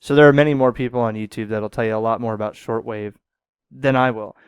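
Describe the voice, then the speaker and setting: Chatty man with a smooth voice. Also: medium pitch, fairly even intonation, fast. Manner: rambling, self-correcting, chuckles often. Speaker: ham radio operator and channel host. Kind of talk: casual radio demo talk.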